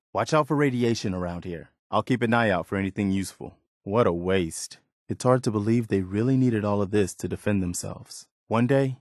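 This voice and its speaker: A man's voice: on the low side, no room sound, studio quality, lightly acted. A man voicing an earnest, hopeful militiaman companion in a post-apocalyptic video game, speaking in a plain, wistful and wary manner. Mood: wary, watchful.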